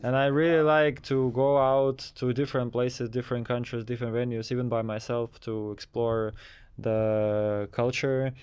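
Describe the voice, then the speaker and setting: Man with a very clear, smooth voice. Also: medium pitch, level tone, moderate pace, a little mellow. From Kazakhstan. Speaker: interviewed DJ and techno producer. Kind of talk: casual interview answers.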